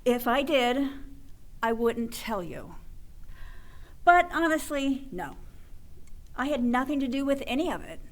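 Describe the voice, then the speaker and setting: Cold female scientist in charge, woman actor, radio drama, higher register, curt authority. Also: very animated, unhurried, pauses often, heavily acted. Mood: cold, insistent. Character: cold, commanding woman scientist running a lab. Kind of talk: superhero comedy radio drama acting.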